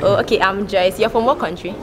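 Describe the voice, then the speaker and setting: A woman's voice: medium pitch, quick pace. A woman from Nigeria, talking to her subscribers in a friendly, chatty way and then asking passers-by how they feel. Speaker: vlogger and medical resident. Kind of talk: direct-to-camera vlog intro, then street interviews.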